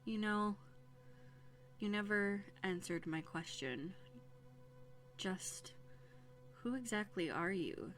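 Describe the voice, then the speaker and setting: A woman's voice: mid-range voice, lively intonation, takes it slowly, pauses often. A woman playing a young runaway princess in a roleplay audio drama, playful and plucky, with a conversational, teasing manner. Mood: sincere, curious. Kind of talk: scripted one-sided roleplay audio drama. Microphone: close USB condenser microphone. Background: soft music.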